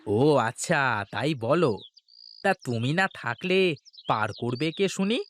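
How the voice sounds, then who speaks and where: Man playing a nosy, lively village passenger. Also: medium pitch, a little slow, slight background noise. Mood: teasing. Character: teasing male villager, boat passenger haggling over fares. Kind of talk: animated folk tale voice acting.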